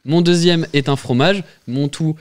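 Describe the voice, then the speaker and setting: A man's voice: a little high, a little slow. A young man hosting a casual quiz podcast, explaining the rules at length and laughing often. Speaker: podcast host running a quiz. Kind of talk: casual chat among friends.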